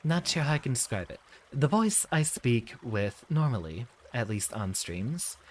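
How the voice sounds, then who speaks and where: A man livestreaming in a practiced professor persona, speaking from the back of the throat with slight rasp, a soothing feminine lilt, formal wording and sentences that fall at the end. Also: mid-range voice, sing-song, measured pace, poor audio. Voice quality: slight fry and raspy gravel on vowels; voice placed at back of throat, raised above natural register. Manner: sentences swoop up and down, dropping at the end. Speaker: game streamer.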